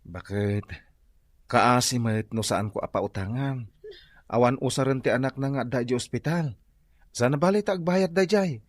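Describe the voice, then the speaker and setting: A man's voice: mid-range voice, normal speed, no room sound, close to an everyday voice. A man with an Ilocano accent playing a kindly, apologetic husband in a comic radio drama, folksy and placating. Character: soft-hearted, apologetic husband who sells sweet potatoes.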